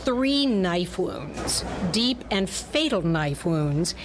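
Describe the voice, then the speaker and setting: A woman's voice: fairly low pitch, very animated, extremely slow, noisy background. A woman delivering dramatic scripted narration for a pulp detective story, as in radio theatre.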